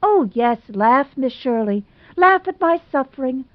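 A woman's voice: sits high, expressive, unhurried, dry recording, dull audio, pitched above the performer's own voice. Woman voicing a gushing, melodramatic lovelorn girl. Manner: gushing, theatrical, tearful. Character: melodramatic lovelorn young woman, self-pitying.

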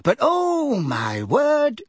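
A narrator voicing a frantic, fussy elderly woman neighbor who scolds and frets in a high, wavering voice. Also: wildly swooping pitch, drawn-out words, husky, no room sound, full character voice. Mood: fretful. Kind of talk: audiobook character voice.

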